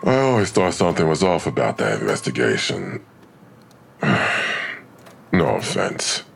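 An actor playing a probing, suspicious police detective in an audio drama, with a gravelly voice and a dry delivery. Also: male voice, rumbling low, huge pitch swings, measured pace, a little hiss or hum, exaggerated voice. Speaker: actor in a fiction podcast. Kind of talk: naturalistic audio drama acting.

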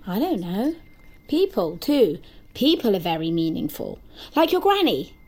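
A woman acting an earnest, naive, literal-minded companion in an audio drama, speaking in a simple, guileless, deliberate way. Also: mid-range voice, sing-song, darkish tone. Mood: earnest, wondering. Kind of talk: naturalistic audio fiction acting.

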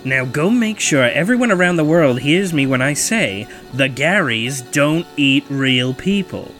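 An aggrieved, mocking man in an audio drama, with a higher-pitched voice that swings widely. He is confrontational and sweary, defensive yet menacing, and music plays underneath him. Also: expressive, measured pace, fairly bright tone. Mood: defensive, insistent. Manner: sarcastic, profane, confrontational.

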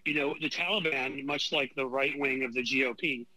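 A man who is a guest on a podcast, with a raspy voice, talking casually with stumbling restarts. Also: medium pitch, normal speed, sharp tone, no echo, rough recording. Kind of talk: casual interview chat.

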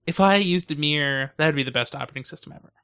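A man's voice: fairly high pitch, fast, pushed and bright, clean tone. A man co-hosting a podcast in casual banter with a dry, sardonic manner. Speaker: podcast host.

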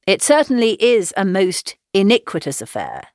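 A woman's voice: mid-range voice, huge pitch swings, no room sound. Woman voicing a wry, dry-witted older father. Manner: measured, wry and teasing. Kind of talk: audiobook reading.

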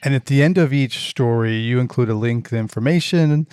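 Man, podcast interviewer, medium pitch, conversational, dry recording. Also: a little fast, a little mellow, clear, resonant tone, dry recording.

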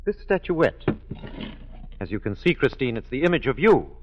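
A man's voice: medium pitch, sing-song, normal speed, dull audio, background noise, lightly acted. A man acting a formal, dryly courteous and jealous French police inspector in 1940s radio drama style. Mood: probing, dry. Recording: 1940s radio broadcast with hall reverb.

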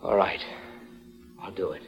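An actor playing an earnest, wounded young playwright, emotional and pleading in the style of 1940s radio melodrama. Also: male voice, a little slow, soft background music, natural voice. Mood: defiant. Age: young adult. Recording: muffled vintage 1940s radio recording.

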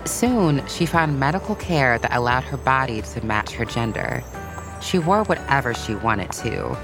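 A woman with a very deep voice narrates a scripted story for children. Music plays clearly behind her as she reads. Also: very animated, a little slow, poor audio.

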